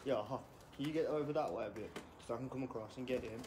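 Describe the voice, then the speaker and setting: A man's voice: mid-range voice, fast talker, noisy background. A man exploring a derelict building with friends, bantering casually in a jokey way. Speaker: exploring companion. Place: dark abandoned building indoors.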